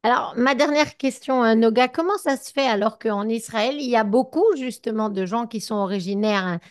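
Slightly high-voiced woman interviewer. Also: normal speed. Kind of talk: conversational broadcast interview.

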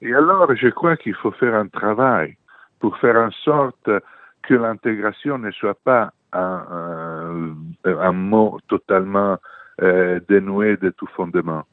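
An older man with an Italian accent, a political journalist answering radio interview questions earnestly, pausing often with fillers. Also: fairly low pitch, dry recording. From Italy. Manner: earnest, hesitant with many fillers. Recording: tinny dry phone line.

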